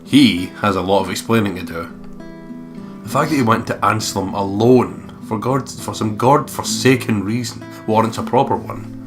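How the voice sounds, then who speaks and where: A man with a fairly low voice narrates a visual novel as a let's play host, slipping between reading and banter. Guitar music plays clearly behind him. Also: normal speed. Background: guitar music from the game.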